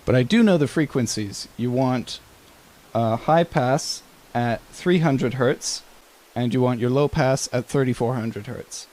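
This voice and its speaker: A man's voice: mid-range voice, measured pace, darkish tone, low-quality recording. A man presenting an audio-production tutorial in an informal, matter-of-fact, slightly tentative way.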